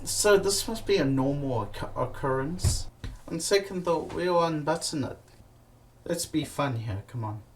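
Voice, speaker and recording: A man telling comic stories about his travels, animated and profane, with many fillers and restarts. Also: on the high side, measured pace, a little hiss or hum. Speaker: comedic storyteller.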